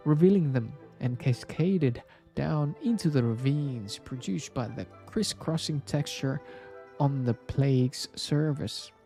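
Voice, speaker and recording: A man reading a story aloud as scripted narration, with a measured, dramatic storybook delivery. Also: a little high, creaky, mellow. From Indonesia.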